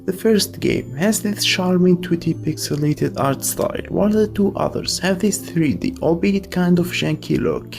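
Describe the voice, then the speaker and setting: A man's voice: high voice, moderate pace, gravelly, hardly any pauses, a little mellow, faint music behind. A man narrating a scripted video essay as its host, with casual asides.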